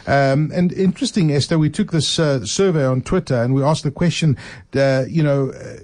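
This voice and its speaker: A man hosts a radio call-in show from the studio. He talks in a relaxed, loose way with plenty of fillers, and his voice sits a little high for a man. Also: moderate pace. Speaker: radio host.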